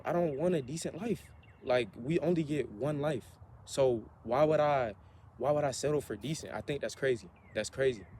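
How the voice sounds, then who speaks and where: A man's voice: mid-range voice, slight background noise. A man in his twenties giving an earnest, motivational monologue for a vlog, repeating himself as he talks through his thoughts. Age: 20s. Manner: earnest, repetitive, self-motivating. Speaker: vlogger.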